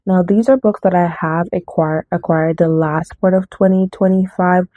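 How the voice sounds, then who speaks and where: A woman's voice: fairly low pitch, steady tone, moderate pace, no echo. A woman chatting casually about her book haul, rambling and often correcting herself, slightly nasal from a cold. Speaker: book vlogger. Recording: dry, tinny phone-like sound.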